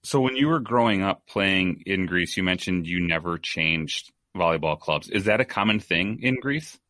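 A man hosting a podcast, reading a scripted intro and then asking long, measured interview questions. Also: deep voice, animated, sharp tone, no room sound, clean studio sound. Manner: measured, asks long multi-part questions. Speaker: podcast host.

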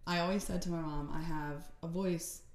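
A woman's voice: deep voice, steady tone, moderate pace, echoey room. A woman therapist speaking frankly and emphatically as a podcast guest. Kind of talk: casual conversation.

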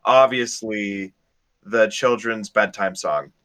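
A man's voice: fairly low pitch, slow, fairly bright tone. A young man co-hosting a podcast, talking casually in a group review chat. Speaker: podcast co-host.

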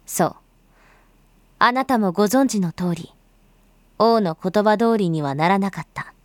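A woman's voice: mid-range voice, unhurried, no echo, lightly acted. A woman performing as a grave storyteller, giving scripted dramatic narration in solemn, literary phrasing. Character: grave storyteller recounting a bloody uprising.

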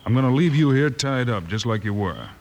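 An actor playing a steady, take-charge western lawman, decisive and reassuring, in a 1950s radio drama. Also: mid-range voice, brisk, lightly acted. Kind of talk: 1950s old time radio western acting. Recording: faintly noisy old radio recording.

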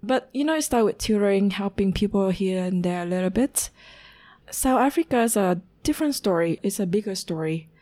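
A woman in her thirties from Vietnam, speaking English as an interview guest, recounting her life at an unhurried pace and pausing to find words. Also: medium pitch, expressive, warm tone.

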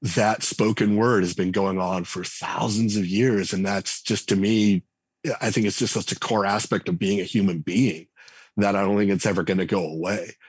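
A man, a game designer and writer, talking reflectively as a podcast guest, pausing often on fillers. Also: lowish voice, steady tone, husky, no echo. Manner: reflective, hesitant with frequent fillers. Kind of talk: casual interview talk.

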